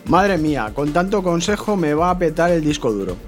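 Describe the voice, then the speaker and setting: A male co-host with a Spain accent banters in a scripted, colloquial, exclamatory way. His voice sits fairly high, with faint music behind it. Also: sing-song, fairly quick. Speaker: co-host, curious sidekick.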